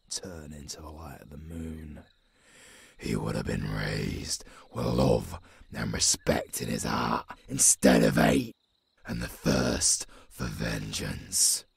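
A man with a British accent voicing a gruff, haunted old werewolf warrior in an audio roleplay, with a husky growl and a brooding delivery that drops toward a whisper. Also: extremely low pitch, heavily acted. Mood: anguished regret. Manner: slow, brooding, half-whispered with sudden gruff rises. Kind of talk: dramatic ASMR audio roleplay.

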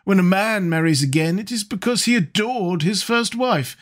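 A man's voice: sits high, animated, normal speed, pitched above the performer's own voice. Man doing a languid witty aristocrat, drawling, lilting voice, audiobook. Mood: mocking.

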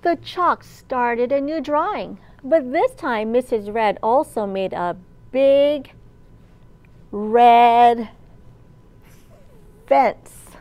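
Lady librarian doing kids storytime, high voice, really slow and animated. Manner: animated, slow and clearly enunciated. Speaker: children's librarian and storytime host. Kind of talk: picture-book storytelling for small children. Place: large indoor library room.

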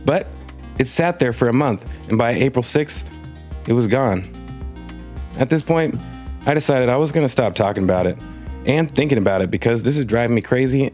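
A mid-pitched man narrates a scripted space news video over faint background music. He tells it as a story, dry and wry, with comic asides. The voice-over is clean and studio-made. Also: a little mellow.

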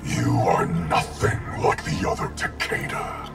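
An actor playing a cold, vengeful undead ninja in a fighting game, with a gravelly, distorted growl and threatening delivery. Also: male voice, a little slow, natural voice. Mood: cold anger. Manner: threatening, declamatory. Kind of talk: fighting game character dialogue. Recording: loud music behind.

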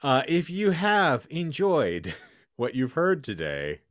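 A man's voice: a little high, huge pitch swings, slow, no echo, clean studio sound. A man hosting a casual comedic podcast, bantering in a jokingly grandiose, florid way. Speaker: podcast host. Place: home room, online group call. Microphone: close podcast microphone.

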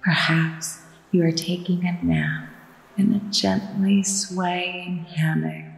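A woman guides a sleep meditation at a very slow, deliberate pace. Her hushed, soothing delivery sits low in pitch. It is bathed in long, echoing reverb. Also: flat delivery, creaky. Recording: echoey long reverb with music behind. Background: relaxation music with ocean waves.

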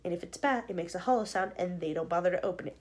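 A woman voicing an eccentric male candy maker who explains things in an animated, insistent way. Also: lowish voice, rapid-fire, close to an everyday voice.

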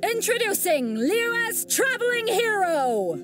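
A woman's voice: very high-pitched, very animated, slow pace, over music, exaggerated voice. A woman voicing a bold, commanding ship captain in a video game, with an assertive, declamatory way of speaking. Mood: proud, rousing.

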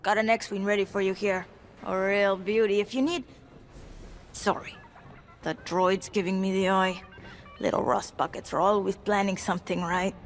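A woman's voice: medium pitch, steady tone, normal speed, lightly acted. Chatty starfighter mechanic played by a woman. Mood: casual, eager. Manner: casual, chatty, helpful. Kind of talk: video game character dialogue. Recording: music behind the voice.

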